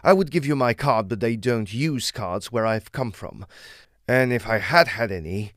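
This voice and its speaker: An actor playing a learned, secretive explorer of Egypt in an audio drama, formal and emphatic in manner. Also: male voice, mid-range voice, animated, normal speed, a bit bright, dry recording, studio quality, natural voice. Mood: courteous, proud.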